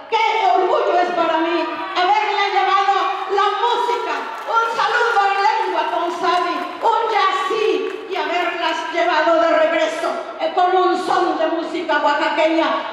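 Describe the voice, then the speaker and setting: A woman's voice: very high-pitched, fairly bright tone. A middle-aged woman from Oaxaca giving an impassioned, declamatory speech on stage into a handheld microphone. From Oaxaca, Mexico. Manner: impassioned, declamatory, slow emphatic phrasing. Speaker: pageant contestant giving a speech. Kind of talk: proud public speech on stage. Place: large hall stage at a cultural festival. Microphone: handheld microphone through a PA. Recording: very echoey hall, captured from far off.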